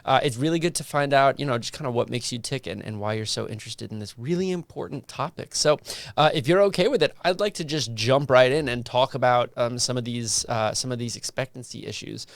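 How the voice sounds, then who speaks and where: A man's voice: medium pitch, brisk, vocal fry, no echo. A man hosting a casual interview podcast, chatting informally and explaining things as he goes. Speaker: podcast host.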